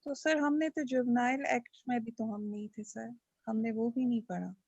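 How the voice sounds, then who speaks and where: Female student with Pakistani accent, higher register, courteous and conversational, little room sound. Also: steady tone, moderate pace, a little mellow, no room sound, dull audio. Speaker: student in an online law class. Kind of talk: casual class discussion.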